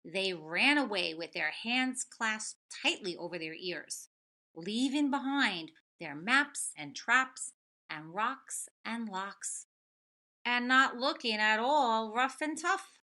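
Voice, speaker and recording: A woman elementary school teacher reading a story aloud and talking to children, expressive and full of questions. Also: fairly high pitch, slow pace. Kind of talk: children's read-aloud and lesson.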